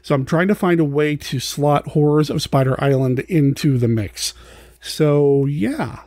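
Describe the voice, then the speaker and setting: A man's voice: a little high, measured pace, darkish tone, no echo. A man hosting a podcast in casual, unscripted chat, rambling and thinking aloud as he corrects himself. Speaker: podcast host.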